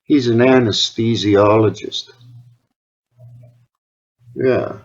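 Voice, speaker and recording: A man's voice: mid-range voice, extremely slow. Earnest Canadian man on a dull recording. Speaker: caller, health awareness advocate. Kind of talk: phone conversation. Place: phone call recording. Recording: dull lo-fi phone call recording.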